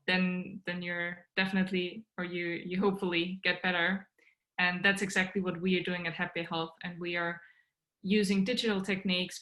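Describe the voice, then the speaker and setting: A woman's voice: lowish voice, a bit bright, rough recording. A young woman from Austria, a startup co-founder earnestly explaining her work over a video call. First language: German. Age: young adult. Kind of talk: podcast interview answers. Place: small bedroom at home.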